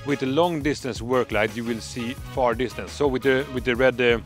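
Swedish-accented middle-aged man, mid-pitched, eager product pitch with fillers, outdoors at night, faint music behind. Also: steady tone. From Sweden. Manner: enthusiastic salesman, halting with fillers. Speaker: product presenter. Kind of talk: product demonstration vlog. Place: dark countryside road at night, outdoors.

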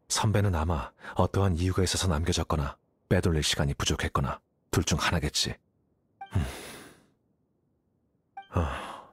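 A man's voice: sits low, breathy edge. A man voicing a cool-headed, analytical young scholar in a Korean game dub, composed and matter-of-fact as he explains. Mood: calm, reasoning. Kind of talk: Korean-dubbed anime game dialogue.

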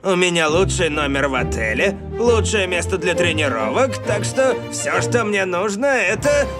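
A vain, flashy football star. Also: male voice, sits high, animated, normal speed. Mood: smug. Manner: boastful, theatrical. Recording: cartoon dub with loud music behind.

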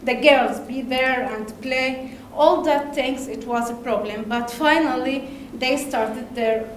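A woman from Lebanon with an Arabic accent, giving a conference talk in halting English with hesitations and laughs. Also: high-pitched, a little hiss or hum. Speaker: conference speaker. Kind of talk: presentation talk. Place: large darkened conference hall. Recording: echoey hall, faint ambience.

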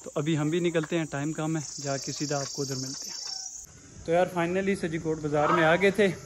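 A man's voice: on the high side, steady tone, moderate pace. A young man casually narrating a vlog to camera while he shops and walks outdoors. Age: young adult. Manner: chatty, talks to camera while shopping and walking. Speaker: vlogger. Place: outdoors on a village market street and hillside. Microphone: phone camera held at arm's length. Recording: clear background noise outdoors.

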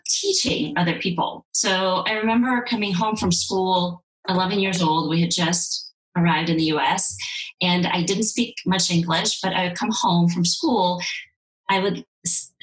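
A woman who grew up in Ukraine speaking Russian, her voice sitting a little low. She talks in a measured, thoughtful way, often restarting her phrases. She is heard over a video call from a room at home. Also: rough recording. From Ukraine, then Soviet Union. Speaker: guest, sex and intimacy coach. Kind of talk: candid interview.

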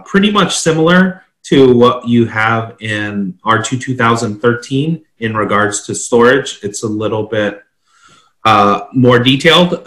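A middle-aged man with a fairly low voice talks through technical requirements in an informal way. He sounds like he is on a computer mic in a room with noticeable echo. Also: a bit bright. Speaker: standards consultant and co-host. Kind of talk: informal explanatory commentary. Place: home office, indoors, on a video call. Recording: roomy, echoing video-call audio.

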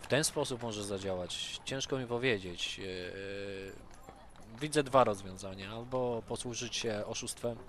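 A man with a fairly low voice plays a tabletop role-playing game. He improvises hesitantly, thinking aloud about his character's moves. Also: measured pace, a bit bright, slight background noise.

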